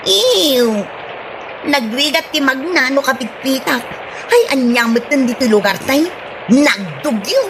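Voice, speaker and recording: An actress plays a haughty woman freshly back from abroad in an Ilocano radio comedy. Her voice is high and swoops dramatically. She whines and exclaims with disdain in an Ilocano accent, over faint background noise. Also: expressive, unhurried. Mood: haughty, annoyed.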